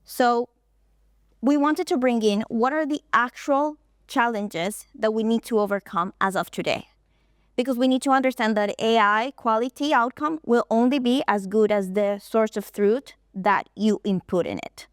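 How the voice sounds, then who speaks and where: Woman presenting, smooth clear voice. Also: fairly high pitch, normal speed, dry recording, studio quality. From Colombia. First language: Spanish. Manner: explanatory, walking through points in order. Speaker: conference presenter. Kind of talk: stage presentation.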